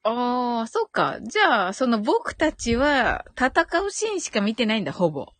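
A woman's voice: on the high side, wildly swooping pitch, moderate pace, fairly bright tone, dry recording. A woman hosting a casual live audio show, chatting with listeners in a giggly way and thinking aloud as she goes.

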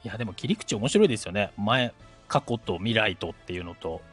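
Husky guy co-hosting, super lively intonation, lots of little reactions, light music underneath. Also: lowish voice, fairly quick. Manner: reactive, frequent interjections. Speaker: co-host of a live audio show. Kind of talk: casual chat.

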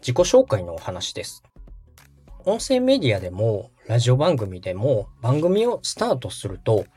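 A man's voice: mid-range voice, wildly swooping pitch, normal speed, warm tone, husky. A man hosting a podcast, explaining things politely, step by step, in a how-to style. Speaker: podcast host. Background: background music.

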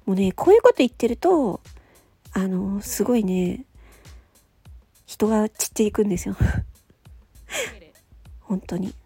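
A woman's voice: medium pitch, sing-song, unhurried, creaky, long pauses, warm tone, husky, faint music behind. A woman host chatting casually on her own, with a questioning, analytical way of talking. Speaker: host of a solo audio show, amateur voice actor. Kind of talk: casual solo chat.

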